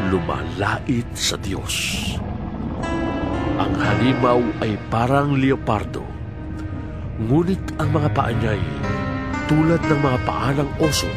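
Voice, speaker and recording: A man narrating a Bible audio drama in a solemn, deliberate way, with dramatic swells in his delivery. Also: mid-range voice. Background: music.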